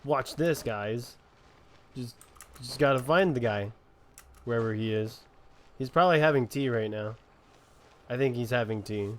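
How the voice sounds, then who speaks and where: A man giving casual gameplay commentary as a streamer, halting and often repeating his words. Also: mid-range voice. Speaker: game streamer.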